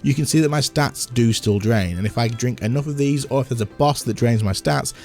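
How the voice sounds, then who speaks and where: A slightly hoarse man walks through a game tutorial in a rapid, chatty way, talking over what he shows on screen. His pitch sits at an ordinary middle level, and faint music plays quietly behind him. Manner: chatty, explains while demonstrating on screen. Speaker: gaming content creator. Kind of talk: casual game tutorial walkthrough.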